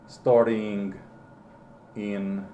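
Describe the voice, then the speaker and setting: A man's voice: on the low side, little pitch movement, very slow, warm tone, echoey room. Man, foreign accent, hesitant, full of ums, faint background hiss. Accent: foreign-accented English. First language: not English. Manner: hesitant, many ums and restarts. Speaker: interviewee. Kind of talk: casual recollection.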